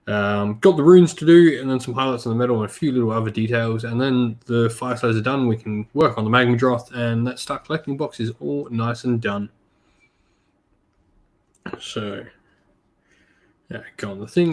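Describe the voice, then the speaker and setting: A man hosting a casual hobby stream, a little croaky from being sick, laid-back with lots of ums. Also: medium pitch. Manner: laid-back, many ums, greets chat viewers by name. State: slightly croaky from illness. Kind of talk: casual live-stream chat while painting miniatures.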